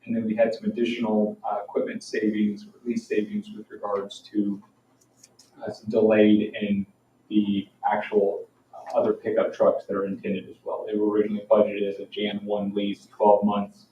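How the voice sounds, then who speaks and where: Flat-toned low-voiced man in an echoey room. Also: moderate pace, a little hiss or hum. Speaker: town finance presenter. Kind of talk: formal budget presentation at a public meeting. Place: town council meeting room. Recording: echoey room, distant mic, dull sound.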